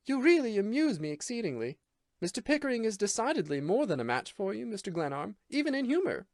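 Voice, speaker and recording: A man's voice: sits high, sing-song, fast talker, a little mellow, dry recording, studio quality, voice pushed up. A man voicing a cool, scornful young woman who speaks with mocking disdain.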